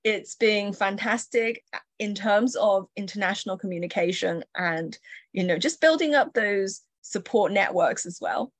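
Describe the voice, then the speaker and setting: A woman's voice: mid-range voice, unhurried, no echo. Female guest from China, reflective storyteller, dry close mic. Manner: warm reflective storytelling, light laughs. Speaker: entrepreneur guest. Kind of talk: interview storytelling.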